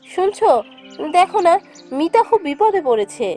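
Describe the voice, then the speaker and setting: A woman's voice: very high voice, big pitch swings, moderate pace, darkish tone, faint music behind. A woman voicing a kindly, motherly mistress of a landlord's house in a cartoon fairy tale, soothing and familiar. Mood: urging.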